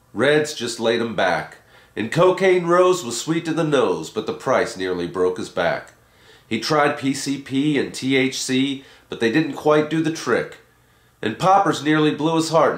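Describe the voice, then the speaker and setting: A man giving a dramatic recitation of a rhyming story poem as its reader. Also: mid-range voice. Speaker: poetry reader. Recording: roomy, echoing home recording.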